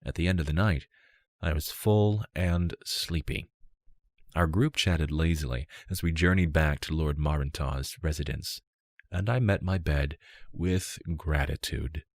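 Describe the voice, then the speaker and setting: A man reading a first-person fantasy story as an audiobook narrator, in a measured, unhurried way. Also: rumbling low, no room sound, studio quality.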